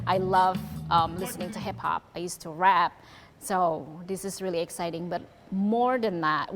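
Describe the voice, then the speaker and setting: Woman interviewee, fairly low voice, reflective talk, music behind. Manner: earnest and reflective, casual fillers. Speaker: performer interviewed. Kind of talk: documentary interview.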